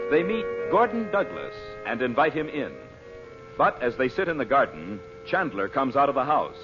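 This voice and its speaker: A muffled vintage broadcast with music behind a male announcer. His medium-pitched voice moves with wide, animated inflection as he reads a script in 1940s radio style.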